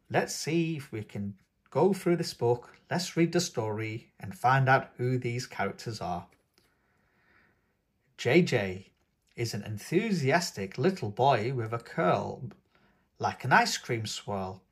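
A man's voice: mid-range voice, slightly rough. A man reading a picture book aloud to toddlers in a sing-song, coaxing tone as host of his own channel. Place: indoors at a tabletop, hands showing a book.

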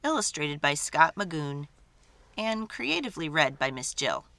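A woman's voice: deep voice, sing-song, a little slow, pushed and bright, no room sound. A woman storyteller reading a picture book aloud as dramatic narration for children.

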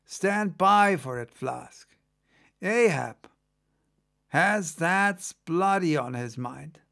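A man playing an excitable second mate on a sailing ship, spinning a yarn in a dramatic audiobook reading. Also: a little high, drawn-out words, vocal fry, exaggerated voice. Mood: conspiratorial. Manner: conversational, exclamatory storytelling.

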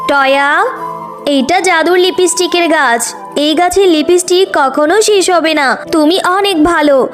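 A woman's voice: extremely high pitch, a little slow. A woman voicing a kind, reassuring magical flower queen in a children's fairy tale cartoon. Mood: benevolent. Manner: kindly, reassuring. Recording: music behind the voice.

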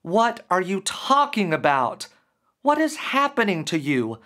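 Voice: mid-range voice, big pitch swings, measured pace, sharp tone, pitched above the performer's own voice. A narrator voicing a scared, demanding grieving man. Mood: frightened. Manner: demanding, tense. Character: frightened grieving young man.

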